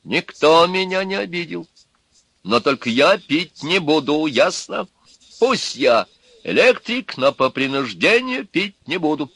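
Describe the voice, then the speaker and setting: A stubborn electrician of about fifty, as a male reader puts him on, snapping out short flat refusals in a raised, bright voice. His tone is lively and pushy, and it comes through dry and close. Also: fairly high pitch, unhurried, slightly rough, no room sound, pitched above the performer's own voice, full character voice.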